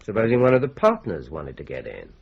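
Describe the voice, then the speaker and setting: A polite male police inspector who keeps pressing with his questions. His husky, fairly low voice rises and falls widely. The old broadcast sound is dull. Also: huge pitch swings, a little fast, full character voice. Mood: probing. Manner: courteous, persistent questioning. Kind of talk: radio drama acting.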